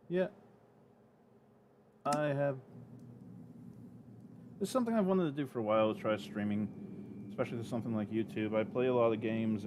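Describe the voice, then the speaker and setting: A man's voice: medium pitch, heavy background noise. A man streaming a game from home, talking in a rambling, hesitant, self-deprecating way. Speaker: gaming streamer. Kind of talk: casual gameplay commentary.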